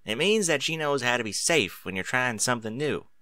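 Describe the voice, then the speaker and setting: A man's voice: mid-range voice, expressive, a little fast, bright, forceful tone, dry recording, clean studio sound. Man voicing a folksy, protective farm mare. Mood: weary. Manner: folksy, plain-spoken. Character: practical farm mare, protective, exasperated big sister.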